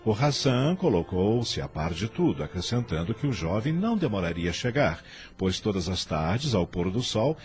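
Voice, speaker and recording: A man's voice: lowish voice, sing-song, normal speed, few pauses. A man narrating a scripted religious radio drama in a solemn, literary storytelling manner. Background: soft music.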